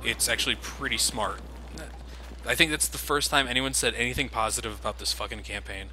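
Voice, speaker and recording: A man's voice: mid-range voice, fairly even intonation, brisk. Sarcastic man commentating gameplay. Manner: sarcastic, swears casually. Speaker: let's play commentator. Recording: game noise underneath.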